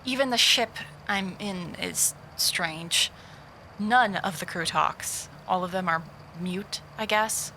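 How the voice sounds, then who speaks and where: Bright-voiced, wry female private investigator. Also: medium pitch, slow pace, a little hiss or hum, rough recording. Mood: dry, wary. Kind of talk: audio drama voice log.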